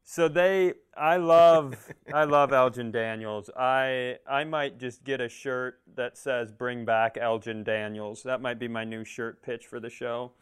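A man with a clear, smooth, medium-pitched voice co-hosts a comedy podcast. He riffs casually, rambling through jokes and poking fun at himself. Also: steady tone, measured pace, few pauses. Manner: joking, rambling, self-deprecating. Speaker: podcast co-host. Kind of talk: casual comedic chat, riffing.

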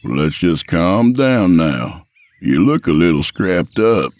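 A man voicing a folksy, easygoing male state trooper who talks in a calm, soothing way. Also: deep voice, slow, no echo, pitched above the performer's own voice, heavily acted.

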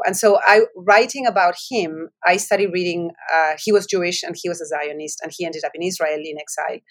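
Argentine woman with a Spanish accent. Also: fairly low pitch. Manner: reflective storytelling with frequent restarts. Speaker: author. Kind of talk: interview answers.

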